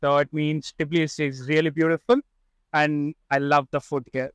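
Fast-talking man outdoors. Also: on the high side, little pitch movement, a bit bright, clean tone, no echo. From India. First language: Kannada. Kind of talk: street interview answers. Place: outdoors by a skate park. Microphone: handheld mic.

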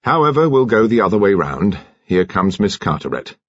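A man as an urbane detective, husky. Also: fairly low pitch, very animated. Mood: brisk. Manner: polite, measured, gently authoritative. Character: urbane, courteous English police detective, calm and probing. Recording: muffled, echoey room.

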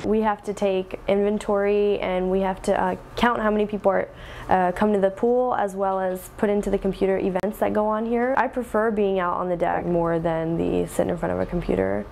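A woman's voice: medium pitch, fairly quick, gravelly, slight background noise. A young woman, a lead lifeguard, talking casually about her work with plenty of fillers, recorded outdoors on a pool deck. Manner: relaxed, chatty with many fillers. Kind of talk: casual documentary interview. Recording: music bed underneath.

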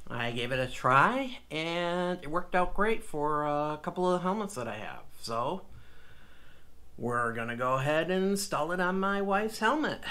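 A man's voice: on the high side, bright, forceful tone. A man filming a casual unboxing vlog in his home office, talking in a rambling, chatty way and often correcting himself. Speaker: motorcycle vlogger.

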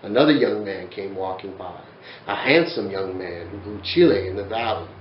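A man's voice: deep voice, moderate pace, roomy sound, highs cut off, a little hiss or hum. A man in his forties reading a children's picture book aloud in a bookshelf-lined study, telling it expressively. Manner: expressive, animated storytelling. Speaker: storyteller.

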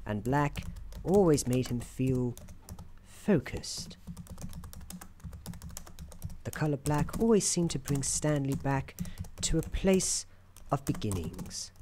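A soft-spoken woman narrating a scripted ASMR roleplay in a measured, deliberate, theatrical storyteller manner. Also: extremely low pitch, sing-song. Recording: keyboard clicks and faint noise. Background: computer keyboard typing.

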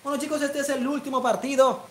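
Excitable coastal Colombian young man streaming. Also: very high voice, fast talker, slight background noise. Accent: Colombian Caribbean coastal Spanish. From Caribbean coast of Colombia. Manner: excitable, shouts rising goal calls. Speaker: gaming streamer. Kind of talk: live football game commentary and chat.

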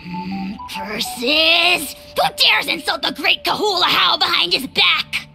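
A shrill, gravelly little dragon lord, pompous and quick to insult. The voice sits extremely high and scrapes with fry, drawn out slowly. Game voice acting with faint music behind. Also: female voice, sing-song, bright, forceful tone, exaggerated voice. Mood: indignant.

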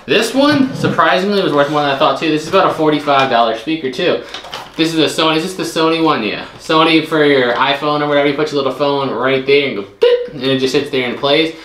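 A man's voice: on the high side, fast talker, a bit bright. Guy chatting about what his stuff is worth, talking it through, echoey room, bit of hiss. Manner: chatty, estimating resale prices with a few restarts. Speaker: co-host reselling storage unit finds. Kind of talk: casual chat. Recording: echoey room with faint noise.

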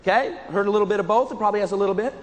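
A man's voice: sits high, racing. A middle-aged American man giving an interactive keynote speech, projecting emphatically to his audience. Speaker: motivational keynote speaker. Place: stage in a large indoor conference hall. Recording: reverberant large hall.

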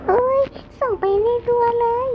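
A young-sounding performer playing a vain, jealous schoolgirl in an amateur animated game skit, exclaiming dramatically. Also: a little slow, narrow, tinny audio, very noisy, natural voice. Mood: excited, jealous. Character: vain, jealous schoolgirl scheming against a rival classmate. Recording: loud music over voice. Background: loud music.

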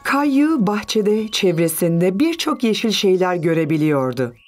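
A woman's voice: on the low side, sing-song, unhurried, music in the background. A woman reading scripted narration for a children's cartoon story.

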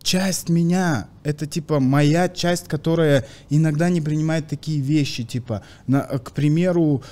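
A young man, a comedian guest from Kazakhstan, talking in a podcast studio on a studio mic, animated, with loud bursts and quiet asides. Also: a little high, moderate pace. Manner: animated storytelling with hand gestures, swings between loud bursts and quiet asides. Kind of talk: casual podcast chat. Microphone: studio mic with headphones.

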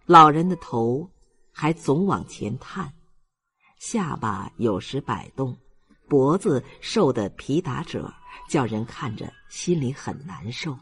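A man reading a novel aloud as an audiobook narrator, in an expressive literary storytelling style. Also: mid-range voice, takes it slowly.

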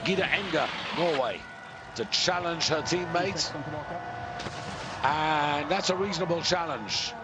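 Unhurried male ski jumping commentator. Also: fairly high pitch, very noisy. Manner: measured, informative, frequent pauses and fillers. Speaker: sports commentator.

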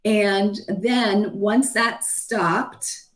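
A middle-aged woman with a hoarse voice giving a matter-of-fact slide talk about her own illness over a video call, with frequent ums. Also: medium pitch, fairly even intonation, very slow. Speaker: physician sharing her own illness story. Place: home room, video call. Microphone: webcam on a video call.

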